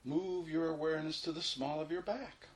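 A man leading a guided meditation, giving unhurried, repeated instructions with long pauses between them. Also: on the high side, steady tone. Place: informal indoor room. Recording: roomy echo, faint background noise.

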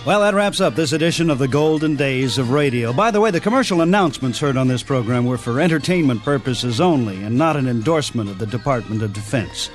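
Male radio host, animated intonation, scripted presenting over faint music. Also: medium pitch, fast, hardly any pauses.

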